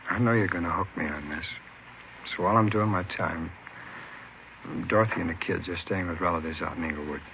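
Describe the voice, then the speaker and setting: An actor playing a contrite small-time crook in an old radio drama, halting and pleading. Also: male voice, low-pitched. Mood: remorseful, pleading. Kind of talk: 1950s radio drama acting. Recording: muffled, hissy old radio recording.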